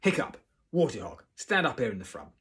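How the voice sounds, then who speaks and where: A man voicing a loud, blustering male Viking warrior teacher in a storybook, roaring out commands. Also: fairly low pitch, normal speed, breathy edge. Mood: commanding.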